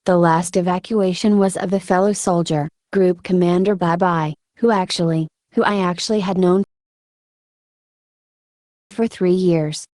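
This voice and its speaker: A woman giving reflective interview answers in a halting, thoughtful way with frequent filler words. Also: fairly low pitch, steady tone, darkish tone, no echo. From Ukraine. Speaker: military combat medic being interviewed.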